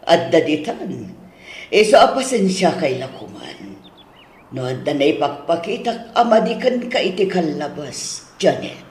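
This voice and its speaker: A man playing a fussy, nagging older mother-in-law in an Ilocano radio drama, with an Ilocano accent and drawn-out, chiding delivery. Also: a little high, sing-song. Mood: apologetic, kindly. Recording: roomy, echoing studio drama sound.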